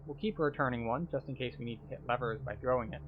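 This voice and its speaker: A man with a mid-range voice talks through a video game as a streamer and guide. He explains each step as he goes, with clearly audible game noise behind him. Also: medium pitch, fast, narrow, tinny audio. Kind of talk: live gameplay commentary.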